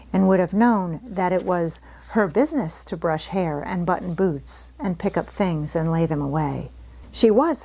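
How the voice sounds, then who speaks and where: A woman narrating a classic children's novel as a scripted, expressive read-aloud. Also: mid-range voice. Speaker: audiobook reader.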